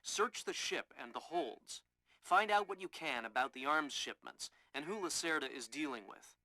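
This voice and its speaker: A man's voice: on the high side, lively intonation, moderate pace, bright, forceful tone, no room sound, low-quality recording. A man playing a matter-of-fact operations coordinator briefing an agent in a video game. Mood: businesslike.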